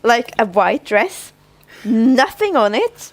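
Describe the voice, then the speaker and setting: A woman's voice: on the high side, sing-song, slow pace. A woman co-hosting a casual fan podcast, telling stories in a giggly, repetitive way. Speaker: podcast co-host.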